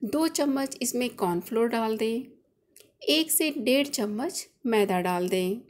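A woman's voice: a little high, animated, measured pace. A woman hosting a cooking channel, explaining each step of a recipe in a chatty, instructive voice-over. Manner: friendly instructive, chatty home-cook explaining.

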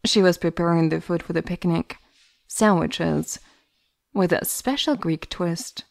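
A woman's voice: fairly low pitch, unhurried, vocal fry, no echo, studio quality. A woman giving scripted third-person narration for an audio drama.

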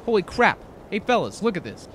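A man voices a brash young cartoon show-off. His bragging patter is highish and jumps around in pitch, with faint noise behind it. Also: sing-song, brisk, fairly bright tone. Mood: exasperated, brash.

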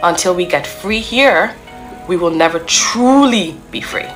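Low-voiced woman, serious and emphatic. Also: expressive, a little slow, soft background music, rough recording. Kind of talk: opinion talk to camera. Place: indoor room.

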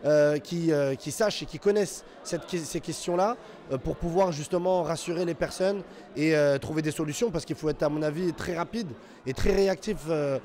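A man's voice: fairly high pitch, normal speed, a little mellow. A young man answering a vox pop in a lobby, earnest, repeating himself with stammering restarts, into a handheld mic. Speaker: passer-by interviewed. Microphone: handheld mic held by interviewer.

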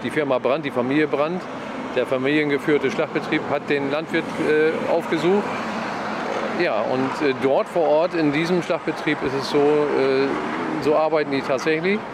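A man in his fifties giving a halting interview answer, searching for words, outside a building. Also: a little high, steady tone. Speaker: butcher business owner. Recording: outdoor street noise, passing cars.

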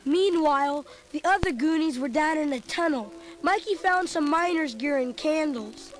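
A woman's voice: high voice, moderate pace, slight background noise, natural voice, low-quality recording. A woman voicing a chubby, food-loving boy who narrates a storybook record with chatty, comic asides. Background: music.

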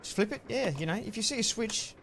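A man's voice: sits high, lively intonation, fast, heavy background noise. Laid-back Australian man streaming a game. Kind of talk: casual live-stream chat while gaming. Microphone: headset.

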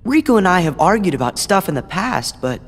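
An earnest, stubborn teenage boy, high and lively in pitch. He speaks in measured phrases with hall reverb, as a game hero does. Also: exaggerated voice. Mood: troubled. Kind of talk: video game cutscene acting.

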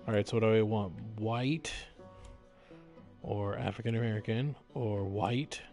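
A man giving casual live gameplay commentary, musing aloud in a jokey, drawn-out way. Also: lowish voice, steady tone, a little mellow, faint music behind. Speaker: game streamer.

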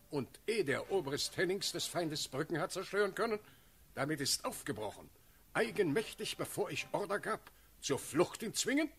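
An actor playing a stern, authoritative Elector in a classical radio drama, declaiming with a husky, creaky voice. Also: male voice, fairly high pitch, a bit bright, full character voice. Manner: declamatory, authoritative verse delivery. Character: stern, commanding male ruler, an Elector passing judgment.

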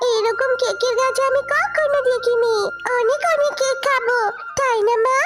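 Super high little kid girl, whiny n eager, cartoon story, loud music under it. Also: steady tone, normal speed. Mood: excited. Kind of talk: children's cartoon story dialogue.

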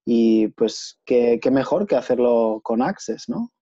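A man in his thirties hosting a casual interview over a video call, speaking in a relaxed, thoughtful way with many hesitations. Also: medium pitch, takes it slowly. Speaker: host and certified facilitator. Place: small room at home. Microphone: earphone headset on video call. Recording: dull lo-fi video call audio.

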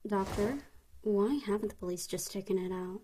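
A woman's voice: mid-range voice, normal speed, dark tone, a little hiss or hum, close to an everyday voice. A woman voicing a blunt, skeptical young assistant to a doctor in a comic fan dub. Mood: blunt, questioning.

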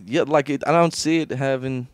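A man's voice: medium pitch, steady tone, normal speed, no room sound. A young man in casual comedic podcast banter, talking crudely in slang into a close microphone. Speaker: podcast co-host.

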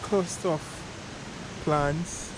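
A man's voice: a little high, steady tone, drawn-out words. A man in his thirties, filming a foraging vlog with a handheld camera in a wooded glen, musing aloud in a chatty way. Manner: chatty, muses aloud, laughs at own ideas. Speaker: foraging vlogger. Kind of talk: casual outdoor vlog. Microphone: action camera held at arm's length. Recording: outdoor camera audio with wind and leaf noise. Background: rustling leaves and outdoor ambience.

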